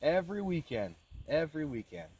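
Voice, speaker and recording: A man's voice: very animated, brisk, darkish tone, breathy edge. A man in his early forties with a Midwestern American accent, chatting casually and reminiscing. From small-town Iowa, United States. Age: early 40s. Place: outdoors at an old rural bridge. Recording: noisy outdoor recording with ambient rumble.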